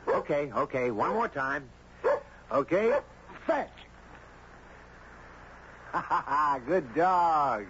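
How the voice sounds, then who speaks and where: A man's voice: a little high, animated, extremely slow, pauses often. An American actor playing a friendly, easygoing man with his dog in a 1970s radio drama, in a casual, conversational way. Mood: playful, easygoing. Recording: dull, noisy old radio recording.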